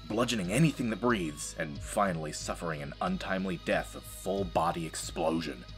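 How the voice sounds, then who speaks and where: A man acting a world-weary, deadpan space marine reduced to janitor, telling his story as dramatic audio drama narration. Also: low-pitched. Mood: grim, sardonic. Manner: sardonic, deadpan, brooding. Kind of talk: dramatic first-person scripted narration.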